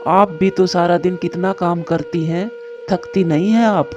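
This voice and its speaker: A man's voice: sits high, steady tone, a little slow, soft background music. Man voicing an earnest cartoon bird, dull lo-fi sound. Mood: warm. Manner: earnest, plainly dramatic. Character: caring, troubled adult male birds of a forest. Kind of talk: cartoon moral-story dialogue.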